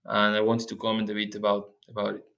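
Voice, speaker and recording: A young man from Argentina, a guest researcher explaining his work in an interview, speaking haltingly with many restarts and fillers. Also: on the low side, monotone, vocal fry, fairly bright tone.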